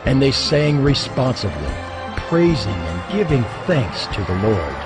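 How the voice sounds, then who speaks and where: A man reads scripture as a dramatic narrator. His medium-pitched voice moves expressively through solemn storytelling, with lively intonation and clear music underneath. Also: a little slow.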